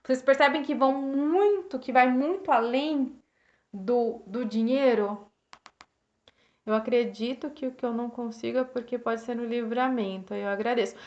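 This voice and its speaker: A Brazilian woman with a slightly hoarse voice, reflecting casually on a book for her live viewers, with many restarts, thanking people by name. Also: fairly high pitch, normal speed, darkish tone. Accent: Brazilian Portuguese. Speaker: host reading and reflecting on a self-help book. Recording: roomy, dull lo-fi live stream.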